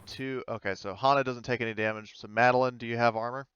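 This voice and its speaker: A man's voice: medium pitch, steady tone, fast talker, a bit bright, lo-fi sound, slight background noise. A man acting as game master, narrating a tabletop game casually and hesitantly as he thinks his rulings through aloud.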